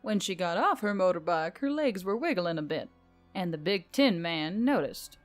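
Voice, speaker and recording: A woman's voice: fairly low pitch, animated, moderate pace, soft background music. A woman reading scripted fiction as a story narrator.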